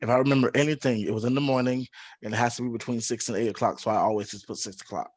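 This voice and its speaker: Relaxed man in his thirties chatting. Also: medium pitch, rapid-fire. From Fort Lauderdale, Florida. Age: 30s. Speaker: podcast host. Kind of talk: casual chat.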